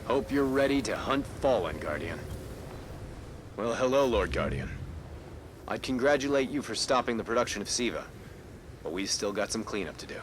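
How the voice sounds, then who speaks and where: A man's voice: medium pitch, animated, normal speed, long pauses, background noise, close to an everyday voice. Man as a cool, wry game scout. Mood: wry, businesslike. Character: wry, casual male scout briefing a soldier on enemies. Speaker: mission briefer. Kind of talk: cinematic video game acting.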